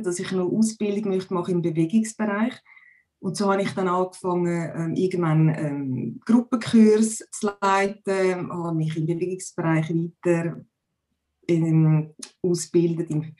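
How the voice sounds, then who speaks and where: A woman's voice: mid-range voice, a little mellow. Chatty woman with a Zurich Swiss German accent. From Zurich, Switzerland. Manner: chatty, many filler hesitations. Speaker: podcast guest. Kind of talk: casual conversation. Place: home room. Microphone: video call.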